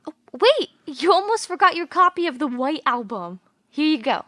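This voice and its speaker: A woman's voice: high-pitched, very animated, normal speed, pushed and bright, dry recording, clean studio sound, heavily acted. An actress playing a caring young woman, frank and full of questions, in an audio play. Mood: hopeful. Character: frank, caring young woman, the troubled man's childhood friend.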